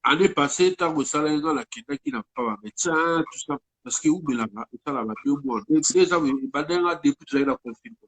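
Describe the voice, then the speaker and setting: A man's voice: on the high side, quick pace, hardly any pauses, fairly bright tone, poor audio. A man speaking French with a Congolese accent, talking casually as an interview guest while he has a head cold, rambling and mixing in Lingala words. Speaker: guest musician.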